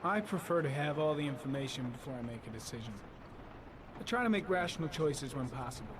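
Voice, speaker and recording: An actor playing a composed, dryly sarcastic male investigator in a video game, speaking in a measured, precise way. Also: mid-range voice, expressive, noisy background, close to an everyday voice. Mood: calm, reasoned.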